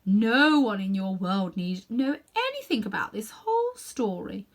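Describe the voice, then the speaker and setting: A woman's voice: mid-range voice, very animated, measured pace. A woman voicing a fierce, scornful witch who coaxes and tempts insistently in a children's storybook. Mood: sly sweet tempting. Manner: imperious, insistent persuasion.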